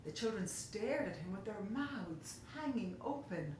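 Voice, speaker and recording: Slow, expressive woman storyteller in echoey room. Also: medium pitch. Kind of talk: expressive children's story narration. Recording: very echoey room, faint hiss.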